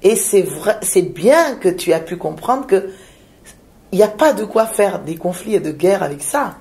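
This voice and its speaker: A woman from Madagascar, a shaman, speaking in a reflective interview with frequent pauses, hesitations and repeated words. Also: on the low side, animated, warm tone. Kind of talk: reflective spiritual interview talk.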